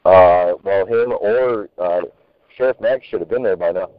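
A man's voice: low-pitched, a little slow. A man talking casually and bluntly on a group conference call over the phone. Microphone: telephone. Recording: muffled tinny phone conference line.

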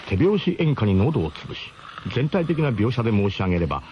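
A man's voice: on the low side, big pitch swings, a little fast, dull audio, slight background noise. A man playing a camper who narrates a comic radio drama in a grandiose, mock-solemn way with colloquial turns. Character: male camper grandly recounting his gang's ramshackle expedition.

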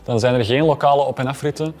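A man's voice: medium pitch, steady tone, fast talker, a little mellow. Flemish man moderating a discussion. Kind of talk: explanatory panel discussion. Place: indoor café-style room, at a table.

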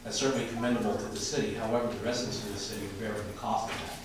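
A man's voice: on the low side, fairly even intonation, rapid-fire. An older man with an American accent making an earnest, formal public comment to a city council as an official, repeating his phrasing for emphasis. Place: large indoor council chamber. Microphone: camera from across the room. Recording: distant, very echoey, noisy room recording.

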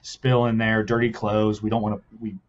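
A man's voice: on the low side, flat delivery, moderate pace, dull audio. A man who owns laundromats, explaining his business in a casual interview, earnest and step by step, often adding "like" and "right?". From United States. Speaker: laundromat owner guest.

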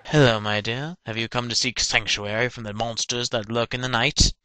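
Guy doing a petulant evil vampire priest, theatrical cartoon parody, bright and close dry sound. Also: mid-range voice, steady tone, moderate pace, smooth, no room sound, lightly acted. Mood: mock polite. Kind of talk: fan-dub parody cartoon acting.

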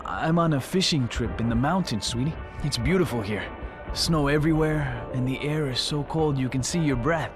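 A man playing a weary soldier father in a game drama. He speaks softly and tenderly, reassuring while hiding danger, over clear music. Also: mid-range voice, normal speed, natural voice. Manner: soft, reassuring.

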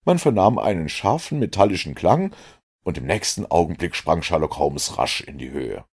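A male audiobook narrator with a very low, gravelly voice. He tells the story in an even way with a dramatic lift, his pitch moving widely and his tone husky. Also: normal speed. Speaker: audiobook reader.

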